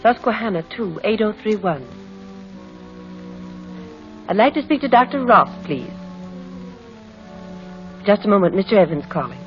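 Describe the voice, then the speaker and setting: An actress playing a brisk, courteous office secretary in an old-time radio drama. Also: female voice, medium pitch, expressive, lightly acted. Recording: muffled old radio recording, music behind.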